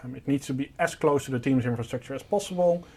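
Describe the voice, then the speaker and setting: A man's voice: medium pitch, quick pace, a little mellow, husky. A man presenting a product, explaining a technical solution in an informal, step-by-step and simplified way.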